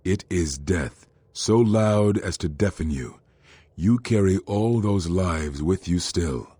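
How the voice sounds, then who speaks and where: An actor playing a brooding, grave Jedi master in a video game, with a weighty, ominous delivery. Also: male voice, sits low, animated, mellow, breathy edge, dry recording, heavily acted. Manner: slow-burning, ominous, weighty. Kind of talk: dramatic video game dialogue.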